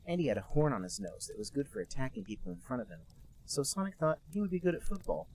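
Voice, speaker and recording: A man co-hosting a comedy podcast, reading a bad fan story aloud in a deadpan voice and adding mocking asides. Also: medium pitch, fast talker, poor audio.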